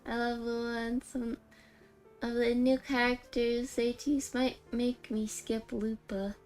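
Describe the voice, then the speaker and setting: A woman's voice: on the high side, little pitch movement, slow, darkish tone, soft background music. A woman livestreaming a game, chatting casually and ramblingly with her viewers. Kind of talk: casual livestream chat while gaming.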